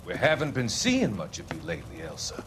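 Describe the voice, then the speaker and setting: An actor playing a smooth, evasive, quietly menacing psychiatrist in a dramatic video game, with a courteous, deliberate, patronizing manner. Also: male voice, on the low side, huge pitch swings, background noise. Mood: genial, probing. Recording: background music behind the voice.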